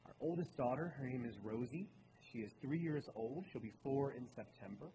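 A man's voice: medium pitch, animated, brisk. Earnest young man in an echoing hall. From Baltimore, Maryland. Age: late 20s. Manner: earnest, conversational storytelling. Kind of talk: personal talk in a sermon. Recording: reverberant hall with clear room noise.

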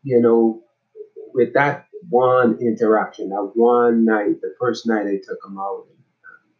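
A Bahamian man in his forties, a nonprofit director introducing himself in a casual, halting way, often restarting and repeating words. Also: fairly low pitch, steady tone, narrow, tinny audio. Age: 40s.

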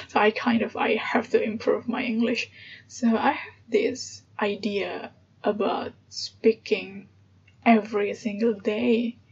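Soft-spoken Indonesian woman, breathy and slow. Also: fairly high pitch. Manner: hesitant, thinking aloud, light laughs. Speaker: podcast host. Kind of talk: casual solo podcast monologue. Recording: dull lo-fi sound with background noise.

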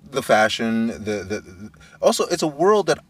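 A man's voice: mid-range voice, very animated, unhurried, breathy edge, recorded from a distance. A man from Brooklyn hosting a casual chat, enthusiastic and steering the talk with questions. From Brooklyn, United States. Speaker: podcast host.

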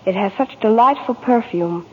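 A young woman from New York reads a rehearsed vintage radio testimonial. Her voice is genteel and husky, heard with long hall reverb on a dull recording. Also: on the high side, normal speed. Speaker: actress guest in sponsor ad. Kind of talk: scripted 1940s radio testimonial.

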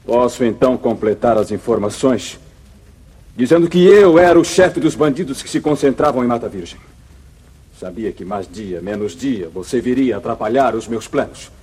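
A man's voice: mid-range voice, animated, normal speed, dark tone. A man playing an arrogant, scheming town boss and secret bandit chief in a 1950s Brazilian radio serial, with theatrical menace. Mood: cold, gloating. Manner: theatrical, smooth-talking menace. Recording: muffled vintage 1950s radio recording.

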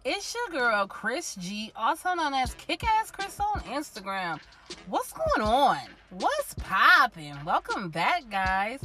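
A woman's voice: a little high, wildly swooping pitch, slow pace. A young woman hosting her own podcast from inside a car, chatty and slangy, a little congested from a lost voice. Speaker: podcast host. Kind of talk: casual solo podcast talk.

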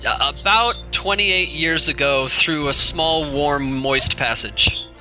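A man's voice: on the high side, pushed and bright, faint music behind, low-quality recording. A man in his late twenties, a tabletop game player chatting casually and laughing often. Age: 20s. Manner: laughs often, quick and playful. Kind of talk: casual chat among players.

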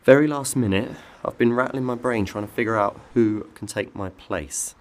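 An actor with an English accent playing an impatient, shifty delivery driver in a dark comedy audio drama, speaking colloquially. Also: male voice, medium pitch, normal speed, lightly acted. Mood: secretive, wheedling. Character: exasperated, shifty male delivery driver, easily riled.

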